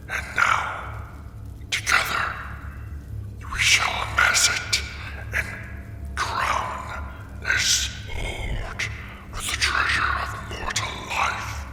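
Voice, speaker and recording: A man voicing a haughty, possessive ancient gold dragon in a formal, menacing, breathy growl for a fantasy ASMR roleplay. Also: bright, forceful tone, exaggerated voice. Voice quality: very deep, gravelly, breathy growl. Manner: pompous, slow, menacing, formal. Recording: echoing cavern-like reverb, background music. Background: dragon lair ambience.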